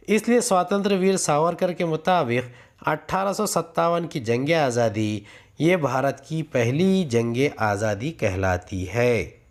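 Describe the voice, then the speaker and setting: Higher-pitched man reading out a lesson. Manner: formal, measured reading of point-by-point answers. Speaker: teacher dictating history answers. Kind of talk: scripted lesson, reading numbered points aloud.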